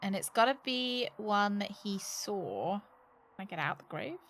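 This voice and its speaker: A woman with a lively, swooping mid-pitched voice gives casual gameplay commentary. She is playful and exclamatory, musing aloud as she goes. Faint ambient noise sits behind her. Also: unhurried, creaky. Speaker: game streamer.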